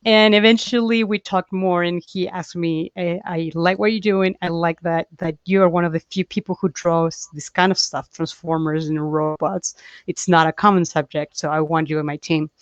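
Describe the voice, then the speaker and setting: A young woman from Chile, a comic artist chatting as an interview guest, talking freely with halting restarts and easy laughs. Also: fairly low pitch, steady tone, dry recording. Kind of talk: casual interview chat. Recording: dull, dry lo-fi call audio.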